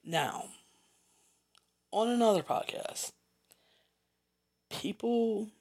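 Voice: medium pitch, extremely slow, darkish tone. A podcast host with a Southern US accent, giving a rambling, chatty solo rundown with asides and self-corrections.

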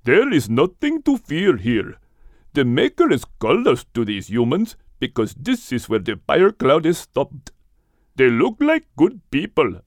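A man voicing a wise, gentle male elephant in an audiobook, speaking in a measured, reassuring way. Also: on the high side, huge pitch swings, dry recording, clean studio sound, full character voice. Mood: calm, reassuring. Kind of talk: audiobook character voice.

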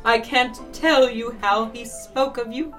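Woman as effusive posh mother-in-law type, genteel, highish, takes her time, audio drama. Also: steady tone, measured pace, fairly bright tone, distant mic, soft background music. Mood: effusive warmth. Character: gracious, effusive future mother-in-law, society lady.

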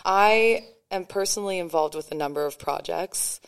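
A woman musician guest chatting eagerly on a podcast, her voice lower than usual today. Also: normal speed, clear, resonant tone. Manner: enthusiastic, bubbly, breaks into song. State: voice an octave lower than normal. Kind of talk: casual podcast chat.

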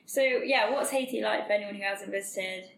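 A woman's voice: mid-range voice, moderate pace, vocal fry, fairly bright tone. Woman hosting a podcast, friendly chatty vibe, bit of room echo and faint hiss. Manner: friendly, chatty, jokey banter. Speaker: podcast host. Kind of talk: podcast interview. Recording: echoey room with faint noise.

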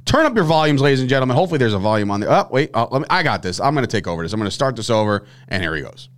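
A man's voice: mid-range voice, very animated, rapid-fire. A man hosting a casual sports betting podcast, rambling and laughing often, with some swearing. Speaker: sports betting show host.

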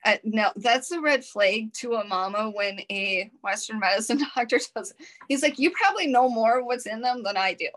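A woman's voice: on the high side, moderate pace, a bit bright. Earnest Minnesotan woman. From Minnesota, United States. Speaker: podcast co-host. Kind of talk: casual podcast chat.

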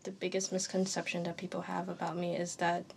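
A woman's voice: lowish voice, monotone, a little fast, creaky, slight background noise. A woman in her early twenties from Hong Kong, a university student speaking earnestly and emphatically in a roundtable discussion. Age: early 20s. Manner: earnest and emphatic, with occasional laughs.

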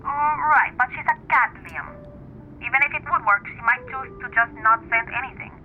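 A woman's voice: a little high, fairly quick, dull audio, a little hiss or hum, natural voice. An actress playing a cautious, patient woman coaching a friend, in a naturalistic audio drama with a careful, conversational delivery.

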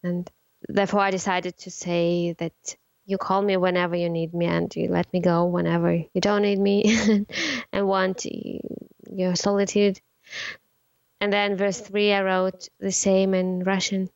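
Smooth-voiced soft-spoken woman. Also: medium pitch, flat delivery, unhurried, no echo, lo-fi sound. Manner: soft-spoken, careful, laughs often. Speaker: singer and co-writer. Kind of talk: casual conversational interview.

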